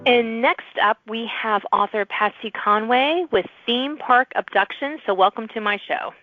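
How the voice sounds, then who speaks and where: A woman's voice: a little high, measured pace, soft background music. A woman hosting a podcast, interviewing a guest in a casual, conversational way. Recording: muffled, lo-fi audio.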